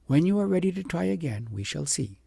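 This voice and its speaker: A man voicing a gentle, patient wise woman from a fairy tale, speaking in slow, tender, earnest counsel with a husky voice. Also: fairly high pitch, sing-song, dry recording, voice pushed up, exaggerated voice.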